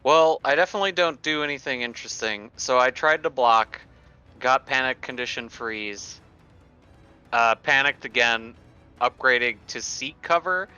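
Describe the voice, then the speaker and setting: A man's voice: medium pitch, moderate pace, pushed and bright, smooth, dull audio. A man chatting casually as a player in a tabletop roleplaying game. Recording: music behind the voice. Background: music.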